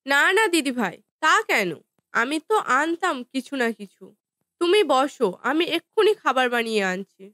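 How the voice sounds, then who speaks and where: A woman playing a dutiful, gentle young daughter-in-law in a cartoon family drama, with a deferential, soothing manner. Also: high-pitched, big pitch swings, normal speed, dry recording, exaggerated voice. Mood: obliging.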